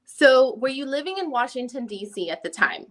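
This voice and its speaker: Probing female attorney, played by a woman reader, higher register, formal deposition questioning. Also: lively intonation, moderate pace, a bit bright. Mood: matter-of-fact. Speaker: reader in an online dramatic reading. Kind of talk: reading a deposition transcript aloud.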